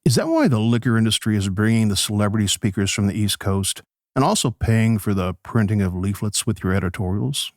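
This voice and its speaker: An actor playing a showy 1911 radio host who announces and moderates a debate in a radio play. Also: male voice, lowish voice, animated, fairly quick, dry recording, studio quality. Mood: probing. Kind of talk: old-time radio show hosting.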